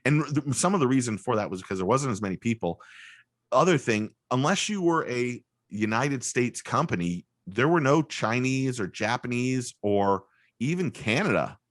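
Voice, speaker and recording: A middle-aged man, a guest telling rambling, animated stories through a compressed studio microphone. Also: medium pitch, moderate pace, no room sound. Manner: rambling, animated storyteller, many restarts. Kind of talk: casual chat, storytelling.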